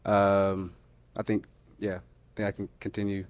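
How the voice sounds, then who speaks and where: A man with a low voice answers questions on a dating show. He talks haltingly, repeating himself and restarting his sentences. The sound is close and dry. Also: completely flat delivery, a little mellow, smooth, no room sound. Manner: halting, repetitive, many ums and restarts. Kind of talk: candid unscripted conversation.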